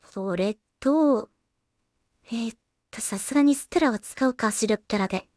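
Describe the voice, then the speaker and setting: A woman with a slightly high voice talks slowly in a close, dry recording. She thinks aloud casually as she works, murmuring to herself in a hesitant way and adding polite asides for whoever is watching. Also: darkish tone, dry recording. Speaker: solo animation creator and streamer.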